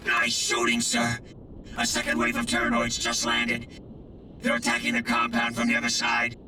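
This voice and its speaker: A man playing an excitable, gung-ho soldier who barks urgent, eager battlefield briefings. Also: moderate pace, natural voice. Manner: urgent, eager, deferential barking. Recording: echoey, distant, battlefield rumble and noise.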